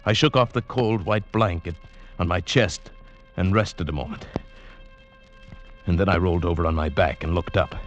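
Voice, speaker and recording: An actor playing an earnest, superstitious South American mountain guide telling his perilous adventure in a measured, dramatic 1940s radio style. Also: deep voice, highs cut off, lightly acted. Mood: hushed wonder. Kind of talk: 1940s-50s radio drama acting and narration. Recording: vintage radio recording with music.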